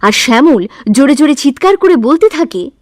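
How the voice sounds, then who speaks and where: Female storybook narrator, high lively voice. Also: moderate pace, no room sound, clean studio sound. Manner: plain expressive storytelling.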